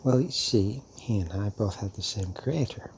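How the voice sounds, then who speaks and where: An actor playing a centuries-old, fatherly male vampire in an intimate audio roleplay, speaking haltingly and musingly with hums and long pauses. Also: lowish voice, a little mellow, a little hiss or hum, lightly acted. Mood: musing, fond.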